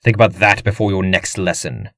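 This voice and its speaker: A man's voice: deep voice, brisk, a bit bright, dry recording, clean studio sound. An actor playing a haughty, boorish fencing master in a comic dub, sneering and blunt as he taunts his pupil. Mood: stern, taunting.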